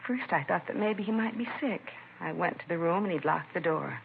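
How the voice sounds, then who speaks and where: A woman's voice: lowish voice, expressive, a little fast, vocal fry. An actress playing a distraught, protective American mother in her early forties, in old-time radio crime drama style. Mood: upset, subdued. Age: middle-aged. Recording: tinny, hissy vintage radio broadcast.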